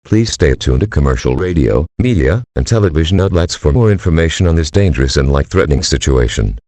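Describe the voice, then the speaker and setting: A male synthetic-style voice as an impersonal emergency alert announcer, reading formal warnings in a flat, clipped manner. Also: extremely low pitch, fast talker, no echo. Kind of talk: scripted emergency broadcast announcement.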